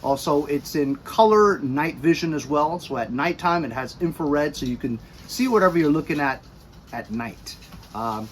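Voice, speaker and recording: Higher-pitched American man, chatty product review. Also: a little hiss or hum. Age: middle-aged. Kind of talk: casual unboxing review. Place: cluttered garage or workshop.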